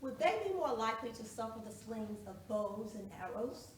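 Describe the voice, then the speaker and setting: A woman's voice: a little high, expressive, moderate pace, close to an everyday voice. A woman playing a formal lawyer in a courtroom stage drama, putting probing questions to a witness. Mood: pointed, probing. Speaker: actor in a one-act play. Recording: echoey room, distant mic, noisy.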